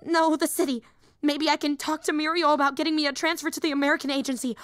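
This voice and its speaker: A woman's voice: high-pitched, flat delivery, fast, fairly bright tone, no echo. A woman voicing a young, nerdy female hero fan and teacher in an audio roleplay, eager, rambling and easily flustered. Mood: flustered, shy.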